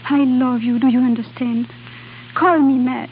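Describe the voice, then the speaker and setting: Actress as a high-pitched, anguished young foreign woman. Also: steady tone, close to an everyday voice. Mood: pleading, lovesick. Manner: dramatic, pleading, halting emotional delivery. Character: passionate, anguished young foreign singer, volatile and lovesick. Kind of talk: vintage radio drama acting. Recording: old radio broadcast, tinny band-limited, hiss.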